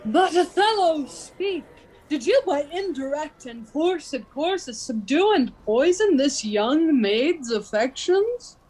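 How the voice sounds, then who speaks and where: A woman playing a grave Venetian senator in a Shakespearean radio play, speaking formally and deliberately. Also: sits high, animated, a little mellow, poor audio. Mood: concerned, probing. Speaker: amateur actor in an online radio play. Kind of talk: Shakespearean verse acting for radio. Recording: faint background noise on online call.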